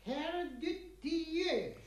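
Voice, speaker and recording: Pompous director, very animated pitch. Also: male voice, very slow, full character voice. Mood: uneasy, probing. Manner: stiff, authoritative, easily flustered. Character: pompous, rattled male ministry director. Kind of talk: 1950s German radio play acting. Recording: echoey 1950s radio studio.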